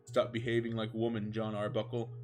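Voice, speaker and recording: A man voicing a self-important, stern cartoon cat hero in a comedic story reading, in a pompous, deliberate manner. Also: fairly low pitch, completely flat delivery, darkish tone, soft background music, lightly acted. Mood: stern, determined. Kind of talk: comedic fan fiction reading.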